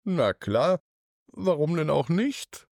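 A man voicing a soft-spoken, cheerful talking snow crystal in a bedtime story. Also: a little high, big pitch swings, slow pace, creaky, no room sound, studio quality, exaggerated voice. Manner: soft, friendly.

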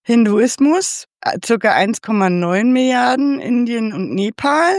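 A woman's voice: on the high side, lively intonation, measured pace, over music. A woman hosting, giving a casual, slightly unsure overview and checking facts with her guests. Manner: chatty, a bit unsure, asks for confirmation. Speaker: host.

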